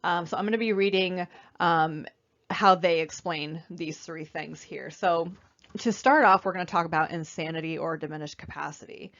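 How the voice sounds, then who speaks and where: A young woman with a slightly raspy voice while getting over an illness, giving a casual, conversational slideshow presentation with many fillers. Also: on the low side, normal speed, fairly bright tone, muffled recording. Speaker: student presenter.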